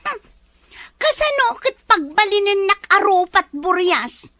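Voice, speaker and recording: A woman's voice: very high voice, big pitch swings, a little slow, noisy background, exaggerated voice. A woman acting an indignant, easily offended villager in an Ilocano comedy drama, with an Ilocano accent. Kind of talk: Ilocano radio-style comedy drama dialogue. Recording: faint music behind.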